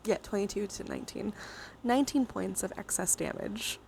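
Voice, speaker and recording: A woman's voice: medium pitch, normal speed, gravelly, a little mellow, rough recording. Playful woman livestreamer over background noise. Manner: playful, giggly, swears freely. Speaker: livestream host. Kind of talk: casual livestream chat with viewers during a dice game.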